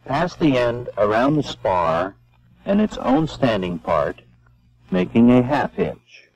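A man giving measured, step-by-step scripted narration for an instructional film. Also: mid-range voice, very animated, gravelly, darkish tone, no room sound. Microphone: voice-over. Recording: dull lo-fi old film soundtrack.

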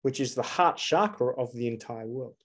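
A man in his forties talks straight into a close camera from a dark room. He is earnest and emphatic, pressing his points with rhetorical questions in a mid-pitched voice. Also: moderate pace. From Australia. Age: 40s. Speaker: astrologer. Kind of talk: solo spiritual talk to camera.

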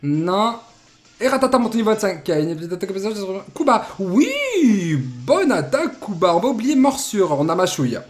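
Young male streamer, lively pitch, jokey, a bit congested. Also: a little fast. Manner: playful, jokey, animated. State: has a cold. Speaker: Let's Play host. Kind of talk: casual gameplay commentary. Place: indoor room at desk.

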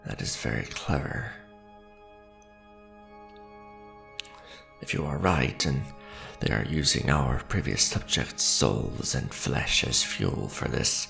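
An ancient vampire lord played by a man speaks in a heavily creaky voice that swings widely in pitch. He is formal and grandiose, a devoted yet ruthless aristocrat in an ASMR roleplay. Faint music sits behind his gravelly words. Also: a bit bright, full character voice. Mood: grave, brooding. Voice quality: deep, gravelly. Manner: formal, grandiose, deliberate phrasing with pauses.

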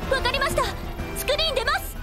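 An actress playing a polite young woman ship officer who reports in a calm, precise, formal way in a video game cutscene. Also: very high-pitched, fast talker, loud music behind the voice. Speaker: game character.

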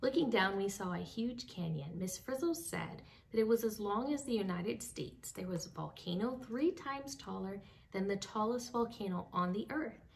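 A young woman reading a children's book aloud expressively, with dramatic pauses, addressing young listeners. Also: medium pitch, animated, gravelly. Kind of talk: expressive read-aloud storytelling. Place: small home room with bookshelves. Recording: slightly distant mic, faint background noise.

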